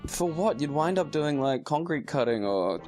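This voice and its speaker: A man's voice: a little high, expressive, normal speed, a little mellow, faint music behind. An Australian man co-hosting a podcast, chatting casually with sardonic, crude humor and frequent laughter. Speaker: podcast co-host. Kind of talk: casual chat.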